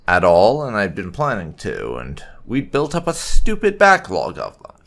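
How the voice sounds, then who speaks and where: Low-voiced man with slow, swinging delivery. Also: fairly bright tone. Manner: halting, explanatory, thinking aloud. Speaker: video game playthrough host. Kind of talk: unscripted let's-play commentary.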